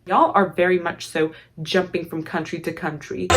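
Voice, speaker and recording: A young woman with a low-pitched voice and an Armenian accent talks straight to camera in a casual storytime vlog. She favours formal, elaborate wording, yet her words tumble out impulsively and she scatters "like" between phrases. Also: steady tone, normal speed, very noisy. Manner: formal, elaborate diction with filler "like". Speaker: vlogger.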